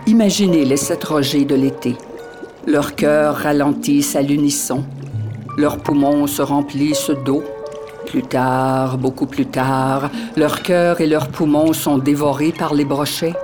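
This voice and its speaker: A narrator reading a poetic script in a slow, measured, grave way. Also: mid-range voice, steady tone. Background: music.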